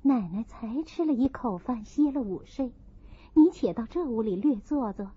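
A discreet young chambermaid voiced by a woman reader confides with worry. The voice is soft and high, in a storybook reading. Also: lively intonation, normal speed, slightly rough, dry recording, highs cut off. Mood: hushed, polite. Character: discreet, worried young chambermaid confiding about her mistress.

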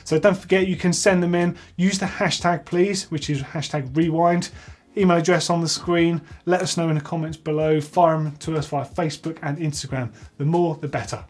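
Enthusiastic male host, 30s, slightly high, husky, chatty. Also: steady tone, moderate pace, darkish tone. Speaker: host of a bike tech show. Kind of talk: casual reading and reacting to viewer entries. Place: workshop with tools on the wall.

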